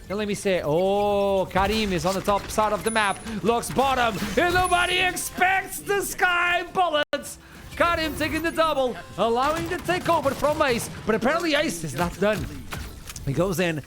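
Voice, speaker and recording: A man from Portugal doing excitable play-by-play commentary on an esports match. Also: extremely high pitch. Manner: excitable, builds to shouts at big plays. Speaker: esports caster.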